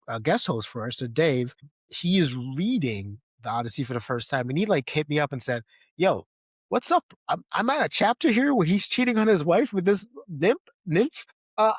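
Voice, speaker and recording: A man's voice: a little high, lively intonation, fairly quick, no room sound. A man hosting a podcast, discussing films casually and conversationally, often tagging his points with a questioning "right?". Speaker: podcast host.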